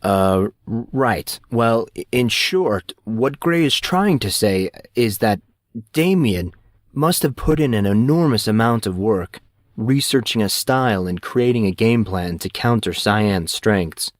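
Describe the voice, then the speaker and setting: Teen boy male narrator, eager, a little sheepish, low pitch, dry recording. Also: expressive, measured pace, no echo. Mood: unsure, explaining. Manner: hesitant starts, stammering, emphatic explaining.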